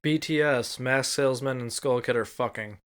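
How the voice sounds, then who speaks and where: A man's voice: mid-range voice, normal speed, a crowd in the background. A man co-hosting a podcast, chatting casually and informally, sometimes swearing. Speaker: podcast co-host. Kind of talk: casual chat.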